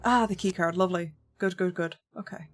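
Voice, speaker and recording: A woman's voice: on the low side, fairly quick. A young woman chatting casually with her viewers while she plays, wry and rambling, often restarting her sentences. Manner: chatty, wry, frequent self-corrections. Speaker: streamer. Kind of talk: casual gameplay commentary, reading chat. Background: game music.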